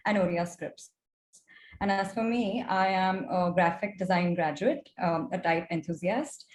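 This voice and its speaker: A woman's voice: medium pitch, darkish tone. Young Indian-accented woman, echoey recording. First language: Gujarati. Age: young adult. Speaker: mentorship student presenting her project. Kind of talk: prepared presentation. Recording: roomy echo with clear background noise.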